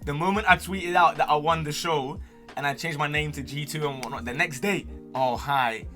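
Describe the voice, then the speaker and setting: A man's voice: fairly high pitch, normal speed. A young man with a London accent chatting casually as a streamer, full of slang and cocky, playful banter. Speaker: streamer and gamer answering viewer questions. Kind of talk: casual chat to camera. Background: music.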